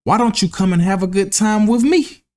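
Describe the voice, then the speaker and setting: A man voicing a carefree, mocking grasshopper in a children's storybook, with a teasing, drawn-out delivery. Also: high voice, darkish tone, voice pushed up, natural voice. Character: carefree, mocking young male grasshopper who loves play. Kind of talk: children's storybook read-aloud.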